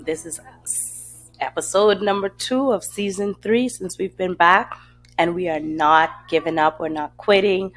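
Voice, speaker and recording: A woman hosting a faith podcast, her voice raspy, dry and hoarse from a cold, talking earnestly and reflectively with many fillers and restarts. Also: fairly low pitch. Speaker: podcast host. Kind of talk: casual faith podcast talk.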